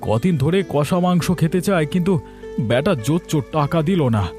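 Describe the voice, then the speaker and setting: A man's voice: a little high, animated, fairly quick, music in the background, close to an everyday voice. A man voicing a poor, aggrieved village father and labourer in a cartoon story. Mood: weary, aggrieved.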